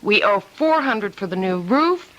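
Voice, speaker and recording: An actress playing an eager, persistent, practical-minded wife in an old-time radio drama, coaxing and questioning. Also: female voice, mid-range voice, expressive, unhurried, fairly bright tone, muffled recording.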